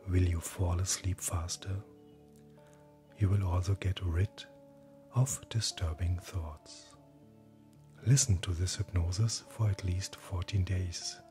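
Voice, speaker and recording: Low, slow man guiding sleep hypnosis. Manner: calm, gentle, breathy, often near whisper. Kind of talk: guided hypnosis, soothing scripted narration. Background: soft background music.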